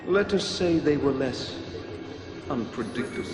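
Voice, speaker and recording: An actor playing a smug, calculating warlord villain in a video game, speaking in a deliberate, pompous, taunting way. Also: male voice, mid-range voice, animated, lightly acted. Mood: coolly calculating. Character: villainous male martial warlord and mind-controller, smug and calculating. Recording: echoey hall, music behind.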